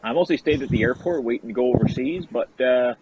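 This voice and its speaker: A man's voice: mid-range voice, quick pace, muffled recording, heavy background noise. A man chatting on a podcast, stammering and repeating words. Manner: stammering, repeats words, local slang "my son". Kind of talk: casual podcast chat.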